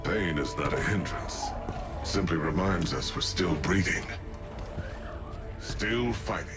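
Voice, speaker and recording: An actor playing a stoic, battle-hardened cyborg city protector in a video game, grave and resolute. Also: male voice, sits low, slow, loud music behind the voice. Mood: grave, steadfast. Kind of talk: dramatic video game acting.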